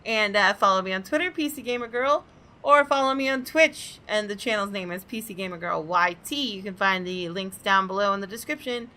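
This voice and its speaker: A woman's voice: mid-range voice, brisk, sharp tone. A woman hosting a gaming channel, reading visual novel narration aloud and commenting casually. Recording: music behind the voice.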